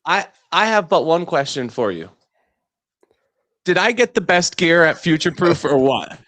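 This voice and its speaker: Higher-voiced male co-host, casual banter. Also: big pitch swings, measured pace, fairly bright tone, no room sound. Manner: joking banter. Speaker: co-host of a market show. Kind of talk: casual chat.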